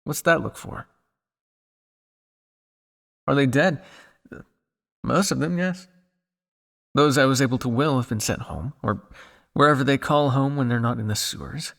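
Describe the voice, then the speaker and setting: A man playing a weary, guilt-ridden old vampire scientist in an ASMR roleplay, speaking to the listener in a measured, dryly formal way. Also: medium pitch, vocal fry, a bit bright, big-room sound. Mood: grave, regretful.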